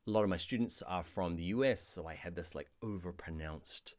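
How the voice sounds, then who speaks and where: A middle-aged man with a New Zealand accent, answering viewer questions in a casual live stream about design software. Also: low voice, brisk. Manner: chatty, reads viewer questions aloud, animated asides. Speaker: online design course instructor.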